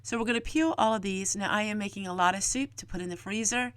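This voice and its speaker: Casting: middle-aged woman, folksy homemaking vlog host, medium pitch, dry recording. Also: quick pace, a bit bright, no room sound. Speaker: homesteading vlogger and host. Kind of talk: homemaking vlog narration, explaining chores and cooking.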